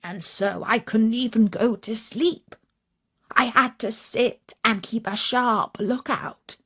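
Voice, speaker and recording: A British woman narrating a scripted children's story in a measured, expressive storytelling manner. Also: a little high, husky.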